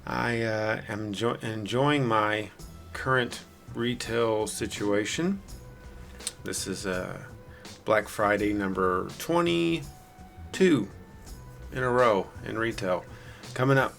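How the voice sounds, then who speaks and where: A man with a raspy, hoarse voice giving rambling, self-deprecating casual commentary as a gameplay video host. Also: fairly low pitch, slow, pauses often, a bit bright, soft background music. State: hoarse from singing the night before.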